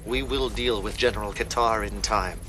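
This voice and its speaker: An actor playing a messianic, calculating leader of a militant brotherhood in a video game, speaking in a grandiose, deliberate, commanding way. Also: male voice, on the low side, fairly even intonation, pushed and bright, slight background noise. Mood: calm menace. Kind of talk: dramatic video game cutscene acting.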